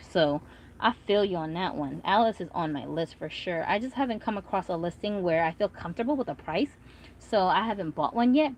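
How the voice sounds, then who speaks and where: A woman's voice: on the low side, a little mellow, a little hiss or hum. A woman in her thirties whose first language is Korean, hosting a casual livestream in a chatty, rambling way and reading out viewer comments. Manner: chatty, rambling, frequent fillers. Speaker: doll collector vlogger.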